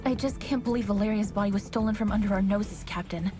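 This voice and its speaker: A woman's voice: medium pitch, fast, lightly acted. Woman playing earnest, brooding game heroine. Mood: concerned. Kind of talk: video game cutscene acting. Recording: game music behind.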